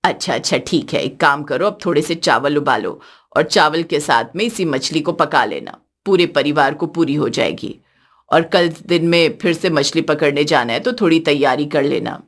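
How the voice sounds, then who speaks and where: A woman's voice: sits low, lively intonation, normal speed, pitched below the performer's own voice. A woman voicing a stern, scolding older mother-in-law in a cartoon story, blunt and commanding. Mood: grudging.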